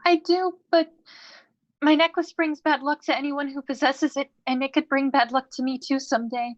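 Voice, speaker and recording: Through a dull, lo-fi microphone comes an anxious young goddess, played by a woman, high-voiced and pleading. She is lovestruck and easily flustered, as heard in a staged play reading. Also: fairly even intonation, normal speed, lightly acted. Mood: upset, apologetic.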